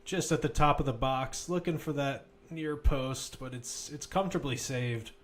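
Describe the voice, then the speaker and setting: A man's voice: mid-range voice, normal speed. A man commentating live on a football match, mixing play-by-play calls with informal, conversational analysis.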